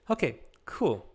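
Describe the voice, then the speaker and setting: A man in his thirties with a Romanian accent, patiently explaining code step by step in a live-streamed lesson and often asking "right?". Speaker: teacher. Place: small room at a desk.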